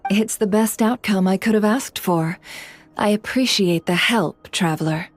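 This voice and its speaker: A woman's voice: medium pitch, a little slow, lightly acted. An actress playing a poised, shrewd female guild boss in a video game, speaking in a composed, businesslike way. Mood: gracious.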